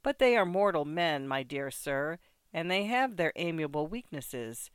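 A reader voicing a boisterous old ex-grenadier, full of exclamations, in a smooth, dry recording. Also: mid-range voice, animated, measured pace, no room sound. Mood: confidential. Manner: loud, exclaiming, full of oaths and toasts. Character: boisterous old French ex-grenadier, loud and theatrical. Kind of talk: audiobook character voice.

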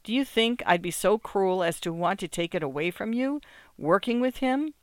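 A man's voice: high-pitched, lively intonation, moderate pace, no room sound, voice pushed up. A man voicing a wealthy wife in a short story, mocking and theatrically gushing, with ironic, condescending exclamations. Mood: excited, gushing. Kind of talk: acted literary short story.

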